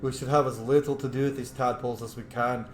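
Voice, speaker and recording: A man's voice: medium pitch, fairly even intonation, fast talker, slight background noise. A man giving casual, jokey let's-play commentary as he roleplays through a video game. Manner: playful, jokey. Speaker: gameplay streamer. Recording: roomy echo, game music behind.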